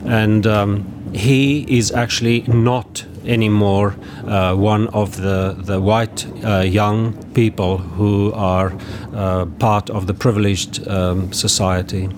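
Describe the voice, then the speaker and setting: A man, a novelist from South Africa whose first language is Afrikaans, speaks with a South African accent and talks about his book in a hesitant way, pausing often with many ums and restarts. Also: on the low side, little pitch movement, background noise. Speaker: author. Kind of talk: festival interview.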